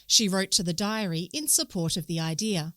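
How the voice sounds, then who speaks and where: An Australian woman from a local newspaper's editorial team, reading a news story aloud in a clear, even way. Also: fairly low pitch, normal speed, no echo, studio quality. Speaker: newspaper reader.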